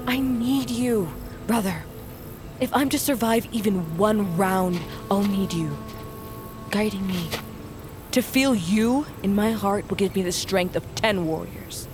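A woman's voice: mid-range voice, measured pace, natural voice. A woman playing a determined young fighter in an audio drama, speaking with earnest intensity. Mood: pleading, heartfelt. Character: determined, pleading young female warrior entering tournament. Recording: dramatic music behind the voice.

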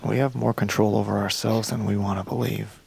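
An actor playing a gentle, steady counselor in an audio drama, speaking in a soothing, unhurried, soft way. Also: male voice, lowish voice, heavily acted. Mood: calm, reassuring. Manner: soothing, unhurried, often softly breathy. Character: gentle, steady male counselor guiding breathing exercises.

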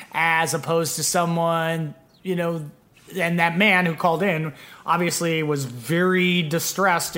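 A man's voice: fairly high pitch, fairly bright tone. A man hosting a casual podcast chat, meandering with many fillers. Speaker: podcast host.

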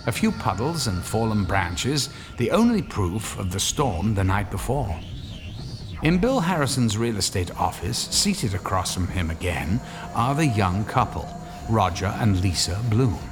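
A man narrating a scripted horror radio drama with suspenseful, measured phrasing. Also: fairly low pitch, big pitch swings, over music.

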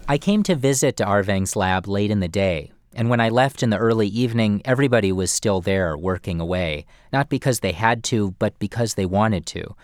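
A male radio reporter narrating a scripted feature story in a measured, storytelling delivery. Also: on the low side, no echo.

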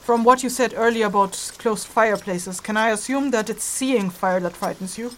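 A woman's voice: mid-range voice, fairly bright tone, slight background noise, natural voice. A woman playing a practical, brusque but protective female traveller in a fantasy audio drama. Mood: considerate. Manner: matter-of-fact, hesitant pauses, exasperated sighs.

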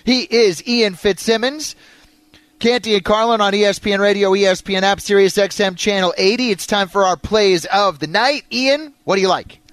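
A male sports radio host with a notably high voice, recorded very dry and close. He moves between show announcements and sports talk. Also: moderate pace, fairly bright tone, no room sound.

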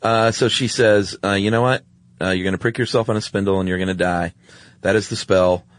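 Man, podcast co-host, fairly low pitch, droll, offhand, conversational storytelling, dry studio-close sound. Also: fairly even intonation, brisk, dry recording. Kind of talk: casual two-person chat, retelling stories.

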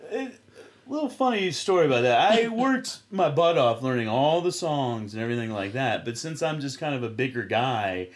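A man with a deep voice, a sketch comedy performer chatting casually in an interview, rambling and trailing off with many fillers. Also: lively intonation, moderate pace.

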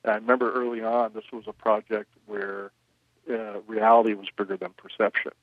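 A man who runs a record label, answering interview questions in a measured way, with frequent "you know" fillers. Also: lowish voice, little pitch movement, no room sound, phone-quality sound.

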